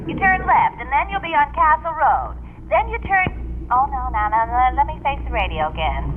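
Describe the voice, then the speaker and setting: A woman's voice: sits high, big pitch swings, moderate pace. A woman playing a scatterbrained, chatty lady neighbour in a vintage radio comedy, flustered and forever correcting herself. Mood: chatty, bright. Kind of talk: 1940s-50s radio comedy acting. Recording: tinny phone-like old radio, hiss.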